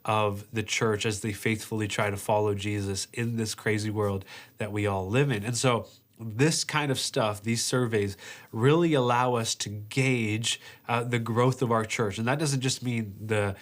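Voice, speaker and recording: A man's voice: on the low side, fairly even intonation, measured pace. Nasal, stuffed-up man speaking as pastor. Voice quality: nasal, congested. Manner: conversational, explanatory. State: stuffed up with allergies. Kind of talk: informal church presentation of survey results.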